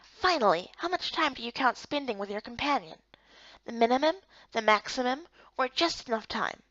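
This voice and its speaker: A woman's voice: fairly high pitch, expressive, moderate pace, fairly bright tone, no echo, highs cut off. A woman voicing a patient, helpful male guild guide in a visual novel game, speaking politely and instructively. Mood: polite, questioning.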